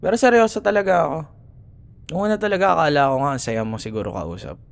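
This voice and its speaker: A man's voice: on the high side, lively intonation, quick pace, vocal fry. A young man with a Filipino accent playing a cocky, teasing, easily annoyed college rival in an ASMR roleplay audio. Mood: softening, earnest. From Philippines. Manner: teasing, sarcastic, exasperated.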